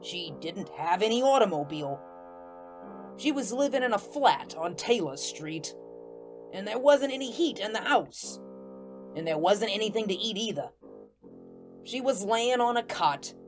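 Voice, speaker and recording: A woman's voice: medium pitch, animated, over music, lightly acted. A woman playing a plainspoken, vengeful ghost who tells his tale in a folksy, colloquial way in an audio drama. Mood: wistful, aggrieved. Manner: folksy, colloquial, deliberate storytelling. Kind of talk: first-person audio drama narration.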